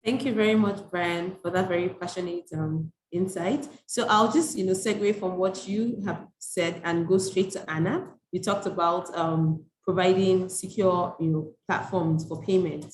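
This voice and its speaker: A woman moderating a panel discussion, asking questions in a measured way with frequent "you know". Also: fairly low pitch. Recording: echoey room with faint noise.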